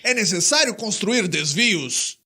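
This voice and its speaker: A woman's voice: mid-range voice, animated, measured pace, music in the background, pitched above the performer's own voice, lightly acted. A woman voicing a fussy, stubborn, nervous male bureaucrat with a formal, insistent manner in an audiobook. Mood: officious, insistent. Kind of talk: audiobook character dialogue.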